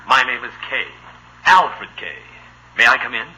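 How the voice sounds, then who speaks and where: A courteous American Hollywood talent scout in 1950s radio comedy. He talks formally, with a husky, piercingly bright voice and lively pitch, on a vintage broadcast recording. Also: a little slow, exaggerated voice, rough recording. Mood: polite. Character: polite, smooth-talking male Hollywood talent scout.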